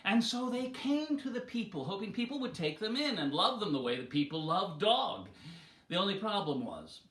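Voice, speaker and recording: High-pitched, animated male storyteller in a roomy space. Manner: dramatic, deliberate delivery with acted voices. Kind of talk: theatrical storytelling of an animal folktale.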